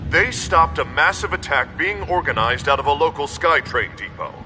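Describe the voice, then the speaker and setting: Stern, declamatory mayor. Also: male voice, a little high, expressive, moderate pace, pushed and bright, faint music behind. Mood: resolute. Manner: formal, declamatory, resolute. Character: stern, self-righteous male mayor making televised address. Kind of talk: political speech in a video game.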